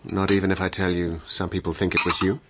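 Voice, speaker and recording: An actor playing a persistent doctor turned amateur investigator in a radio drama, measured and questioning. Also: male voice, low-pitched, little pitch movement, noisy background. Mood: probing, insistent.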